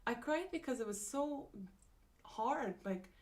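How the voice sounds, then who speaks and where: Young woman rambling on her stream, kinda high voice, tons of likes and ums, laughs a lot. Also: animated, takes it slowly, darkish tone. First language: not Japanese. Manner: chatty, many fillers and restarts, frequent laughs. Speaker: live-stream host. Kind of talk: casual live-stream chat reading comments.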